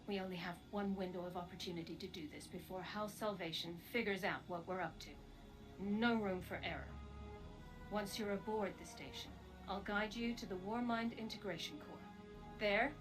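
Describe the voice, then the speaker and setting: Woman as serious female commander, methodical, measured, resolute, mission briefing, video game, music behind. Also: mid-range voice, natural voice, poor audio. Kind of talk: scripted video game dialogue.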